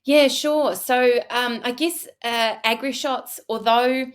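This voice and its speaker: A woman's voice: on the high side, steady tone, slow. A woman from New Zealand with a Kiwi accent, talking casually as a podcast guest in a studio, with many fillers and restarts. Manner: chatty, frequent fillers and restarts. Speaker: podcast guest, agricultural photographer. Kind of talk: casual interview talk.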